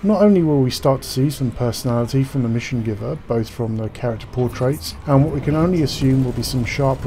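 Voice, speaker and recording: A man's voice: mid-range voice, dark tone, a little hiss or hum. A man giving scripted game news commentary as a gaming commentator, articulate and formal in his wording.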